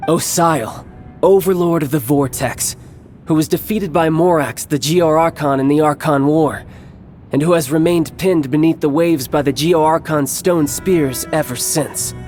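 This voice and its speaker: An actor playing a cocky, battle-hungry young villain in a video game cutscene, speaking in a measured, smug and theatrical way with formal phrasing. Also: male voice, on the high side, a little mellow, faint music behind, close to an everyday voice. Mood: scheming, menacing.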